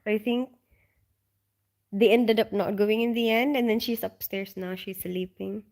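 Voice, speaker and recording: A woman in the middle of her vocal range, hosting a relaxed live stream from home late at night. She reads out viewers' comments and answers them in a loose, chatty way, with ums and soft laughter along the way. Her voice sounds tired and drowsy. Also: medium pitch, a little slow. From Philippines. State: tired, getting sleepy. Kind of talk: casual live-stream chat.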